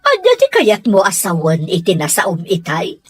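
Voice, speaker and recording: A woman's voice: medium pitch, huge pitch swings, normal speed, husky, faint music behind. A woman with an Ilocano accent playing a suspicious, domineering wife and household boss in a radio drama. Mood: indignant.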